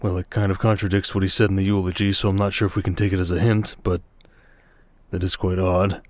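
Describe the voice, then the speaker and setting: A man playing a stern, protective merchant lord in a roleplay audio drama, speaking in a dry, measured, commanding way. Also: deep voice, flat delivery, a little mellow, no echo, natural voice. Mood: intent, dry.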